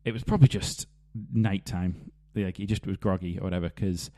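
Man, podcast host, low voice, rambling anecdotes, laughs mid-sentence. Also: steady tone, fairly quick, warm tone. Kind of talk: casual chat.

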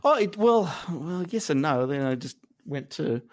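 An Australian man in his thirties, a guest reflecting on his past in a halting, self-deprecating way, with many restarts and fillers. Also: fairly high pitch, expressive. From northern New South Wales, Australia. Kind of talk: reflective conversational interview.

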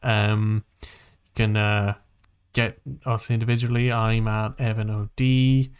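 A man co-hosting a podcast in casual conversation, talking in a rambling, halting way and often rephrasing mid-sentence. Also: on the low side, level tone, clear, resonant tone. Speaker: podcast co-host.

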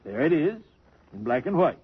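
A man playing a sly, wry gentleman boarder in a 1950s radio drama, talking with dry hesitations. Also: on the high side, very animated, moderate pace, slightly rough, heavily acted. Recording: muffled old radio broadcast.

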